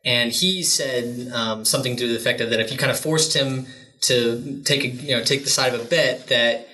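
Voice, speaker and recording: A man's voice: mid-range voice, steady tone, normal speed, pushed and bright, rough recording. A man co-hosting an interview podcast, asking long, hedging questions. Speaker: podcast co-host.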